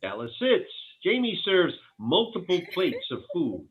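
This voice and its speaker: A man's voice: sits high, wildly swooping pitch, a little slow, slightly rough. A man reading stage directions as scripted narration for a table read. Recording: tinny phone-like call line.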